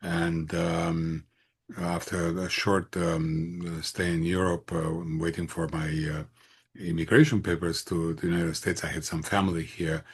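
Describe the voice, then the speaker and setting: A man in his sixties speaks with a Romanian accent in a very low, creaky voice with a husky grain. He answers as an interview guest in a conversational way, pausing often as he pieces his phrases together. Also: flat delivery. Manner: halting, hesitant phrasing with frequent pauses.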